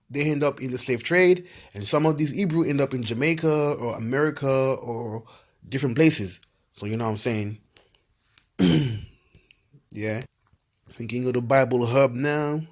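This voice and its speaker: A man from Ivory Coast casually talking through research as he reads from websites, filling gaps with "yeah" and "you know what I'm saying". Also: mid-range voice. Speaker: video host.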